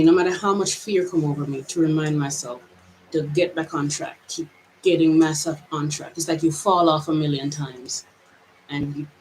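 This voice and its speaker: A woman's voice: deep voice, a little mellow, slight background noise, poor audio. A woman from Jamaica with a mixed Jamaican and American accent, giving a reflective personal vlog monologue in a hesitant, searching way with many restarts. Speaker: vlogger.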